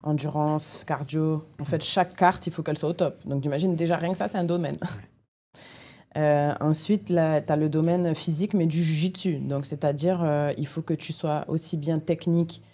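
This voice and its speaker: Low, mellow-voiced woman. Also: steady tone, moderate pace. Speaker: elite jiu-jitsu athlete, podcast guest. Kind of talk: explanatory interview talk.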